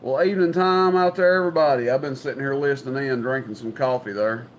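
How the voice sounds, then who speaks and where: A man's voice: mid-range voice, quick pace, a little hiss or hum. Folksy Southern man chatting on CB. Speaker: CB radio operator and livestream host. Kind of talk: casual chat over CB radio.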